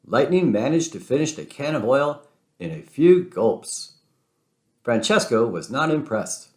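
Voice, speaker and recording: A man narrating a children's storybook aloud in an expressive read-aloud style. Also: medium pitch, a little slow. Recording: roomy, echoing sound.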